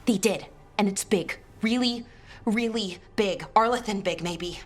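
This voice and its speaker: An actress playing an anxious, earnest young magic researcher in a video game. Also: female voice, mid-range voice, measured pace, natural voice. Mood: worried, urgent. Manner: earnest, urgent, apologetic. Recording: faint music behind the voice.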